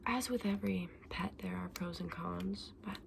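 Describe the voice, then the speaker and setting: A woman whispering breathily in a gentle, unhurried ASMR roleplay as a shopkeeper talking to a customer. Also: fairly low pitch, vocal fry, background noise. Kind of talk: whispered ASMR roleplay as a dragon egg saleswoman.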